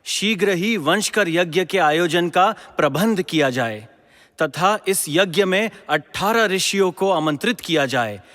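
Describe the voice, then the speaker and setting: A man's voice: high-pitched, animated, measured pace, a bit bright. A man playing a devout, principled ancient king in a mythological audio drama, speaking in a formal, declamatory way. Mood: regal, approving. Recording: long echoing hall reverb.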